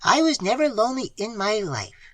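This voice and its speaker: A man voicing a sour, secretive old woman with a croaking voice, in an audiobook reading. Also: extremely high pitch, wildly swooping pitch, unhurried, bright, forceful tone, no room sound, pitched above the performer's own voice, full character voice. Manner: sour, prying, confidential. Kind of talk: audiobook character voice.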